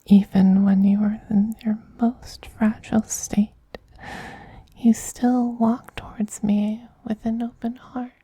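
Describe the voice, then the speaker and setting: A man voicing a tender, possessive male vampire queen in a soft-spoken ASMR roleplay, in a slow, breathy, soothing whisper with gentle dominance. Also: sits high, flat delivery, dry recording, exaggerated voice. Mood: loving, soothing.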